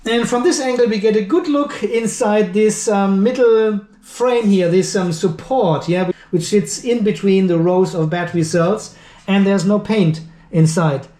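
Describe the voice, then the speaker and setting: Guy w high voice, reviewing gear hands-on, thinks out loud, echoey room. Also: a little slow. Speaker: video host and product reviewer. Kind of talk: unscripted hands-on product review commentary.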